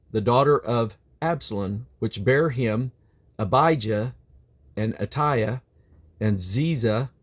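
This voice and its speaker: A man reading the Bible aloud as a reader, phrasing deliberately and now and then stumbling over names. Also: mid-range voice.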